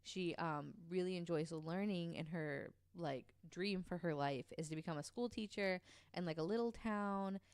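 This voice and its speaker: A woman's voice: on the low side, moderate pace, a little mellow, smooth, no room sound. A woman co-hosting a podcast, casually telling a true story with lots of ums and likes. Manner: chatty, many ums and likes, laughs easily.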